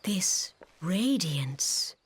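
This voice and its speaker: Slow, solemn woman mystic leader. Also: fairly low pitch, sing-song, slightly rough, dry recording, heavily acted. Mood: hushed wonder. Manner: measured, formal, archaic diction. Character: serene, solemn female mystic leader, wise and grave. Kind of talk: scripted fantasy game cutscene acting.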